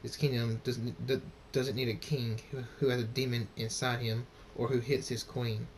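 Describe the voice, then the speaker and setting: A man's voice: little pitch movement, normal speed, darkish tone, natural voice. A man voicing a stern, vengeful winged warrior pony father in a story, grim and commanding. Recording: noisy with low rumble.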